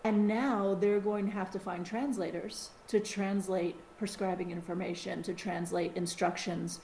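A middle-aged woman from the United States, co-hosting a podcast in a casual, animated chat with frequent restarts and side remarks. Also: mid-range voice, unhurried. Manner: chatty, animated, with many restarts. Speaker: podcast co-host. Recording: roomy sound with faint hiss.

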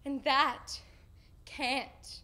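A young woman recites spoken-word poetry on an indoor stage. Her voice is high, and she shapes each phrase deliberately and expressively, as a slam poet would. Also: little pitch movement, bright, forceful tone. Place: indoor stage in front of a curtain.

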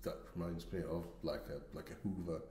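A man's voice: sits low, fairly even intonation, fairly quick, mellow. Man podcast co-host, echoey room. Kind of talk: casual chat. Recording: roomy, echoing voice.

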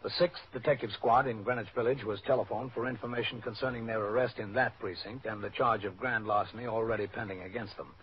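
A man playing a steady, matter-of-fact police captain in a scripted old-time radio drama. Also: fairly low pitch, fast talker, few pauses, close to an everyday voice. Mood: factual, measured. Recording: dull, noisy old radio recording.

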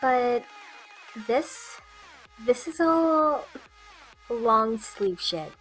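A grumpy, blunt little girl in an audio drama acted by a woman. Her voice is very high yet husky, and she talks slowly. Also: expressive, fairly bright tone, soft background music, full character voice, poor audio. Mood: confused, whiny. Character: Laura, foul-mouthed sullen eleven-year-old girl.

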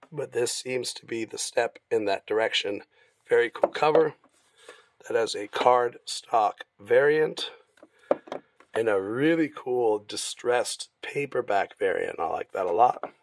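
Hoarse, raspy man, mid-pitched, dry close sound, laid-back shop presenter. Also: no room sound. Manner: informal, easygoing, stumbles now and then. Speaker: comic shop staff presenting new releases. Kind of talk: casual product walkthrough. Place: comic shop, indoors.

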